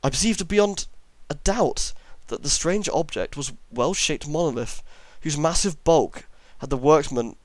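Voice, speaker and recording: A man's voice: on the high side, lively intonation, unhurried, hardly any pauses, no echo. A man narrating a horror story as an amateur reader, now and then stumbling over long words and correcting himself.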